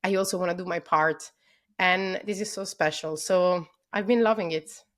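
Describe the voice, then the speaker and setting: Keep it warm and eager: an Italian woman talking fast and open, with lots of "um" and "like", as a guest on a video call. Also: fairly low pitch. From Italy. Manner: enthusiastic, many fillers like "um" and "like". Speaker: podcast guest. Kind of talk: casual interview conversation.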